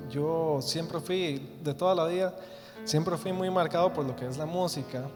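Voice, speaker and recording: A young man in his twenties with a Costa Rican accent, telling his testimony in church, hesitant with many fillers and restarts. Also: mid-range voice. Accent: Costa Rican Spanish. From Costa Rica. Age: 20s. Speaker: guest giving testimony. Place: church hall. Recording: reverberant church hall. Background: soft guitar music.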